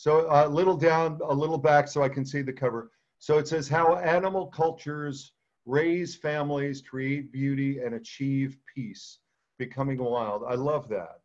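A man's voice: on the high side, moderate pace. An older man hosting a video call interview, affirming the speakers with many murmured agreements. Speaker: host. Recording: dull lo-fi video call audio.

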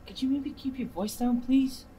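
An actor with an American accent playing a defensive, swaggering brother with worry underneath, in a naturalistic drama. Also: male voice, very high-pitched, normal speed, dark tone. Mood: defensive, annoyed. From Virginia, United States. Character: defensive adult brother putting on swaggering Italian airs, worried underneath. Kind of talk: naturalistic film dialogue.

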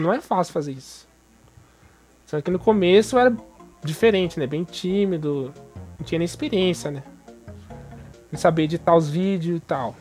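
A man hosting a gaming channel, chatting casually over gameplay in an emphatic, slangy way and repeating his exclamations. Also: high-pitched. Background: video game music.

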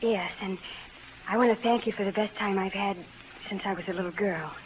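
Actress as demure young western bride, medium pitch, hesitant, muffled vintage radio. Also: female voice, fairly quick, a little hiss or hum, natural voice. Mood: wistful. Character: sweet, demure young frontier bride with spirit. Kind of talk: 1940s-50s radio western acting.

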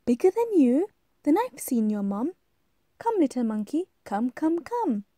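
A woman's voice: sits high, sing-song, measured pace, dark tone, no room sound, studio quality. A woman voicing a helpful, confident butterfly in a rhyming storybook, eager and encouraging. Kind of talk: rhyming storybook read-aloud.

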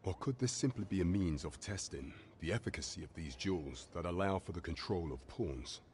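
Very deep, grave guard captain. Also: male voice, lively intonation, darkish tone, a little hiss or hum. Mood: grave, pondering. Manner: formal, measured, courtly. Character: grave, loyal guard captain in a dark fantasy game. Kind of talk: scripted video game dialogue in archaic English.

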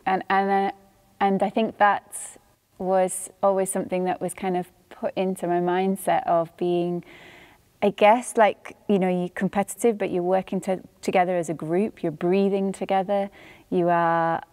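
A woman in her thirties with a Yorkshire accent, chatting in a relaxed, thoughtful way as an interview guest on a lapel mic in a studio hall. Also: on the low side, normal speed. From born in Northern Ireland, raised in England. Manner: relaxed, thoughtful, laughs easily. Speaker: guest musician. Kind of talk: casual interview chat. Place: studio hall with a grand piano.

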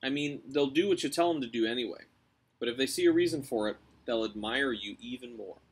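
A man voicing a brave, cunning young girl, coaxing and deferential as she flatters. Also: mid-range voice, breathy edge, close to an everyday voice. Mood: coaxing, scheming. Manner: coaxing, deferential, quick-thinking.

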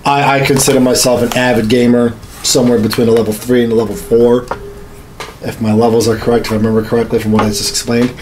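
Male podcast host, 30s, mid-pitched, casual and emphatic, profane, slightly noisy room recording. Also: flat delivery, fast, darkish tone. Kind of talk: casual gaming chat. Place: small home studio room, indoors.

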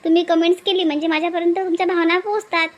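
A woman's voice: very high-pitched, level tone, racing. A woman giving an informal outro to viewers as a cartoon channel creator.

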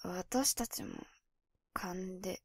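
An actress playing a calm, calculating young female werewolf in a voice drama, speaking matter-of-factly. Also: lowish voice, very slow, breathy edge, dry recording, clean studio sound, exaggerated voice. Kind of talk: Japanese voice drama acting.

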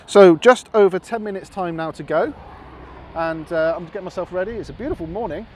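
A man's voice: high voice, brisk. A man around his forties in casual explanatory chat to camera, as an amateur radio vlogger. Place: outdoors on an open hilltop beside a road. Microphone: camera mic at about arm's length or more. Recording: noisy outdoor recording with passing traffic.

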